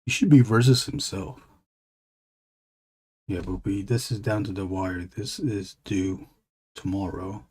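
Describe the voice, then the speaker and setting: A low, husky male voice with a dark, mellow tone. He chats slowly and loosely with his stream viewers while he works, trailing off and circling back to questions. It sounds like unhurried late-night live-stream talk. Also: steady tone. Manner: rambling, talks to chat readers. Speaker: illustrator streaming his drawing. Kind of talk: casual live-stream chat while drawing.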